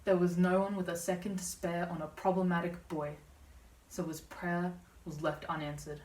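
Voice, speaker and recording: A woman's voice: lowish voice, flat delivery, moderate pace, a little mellow. A young woman student performing slam poetry with a dramatic, emphatic, rhythmic delivery. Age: young adult. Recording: distant, echoey room pickup.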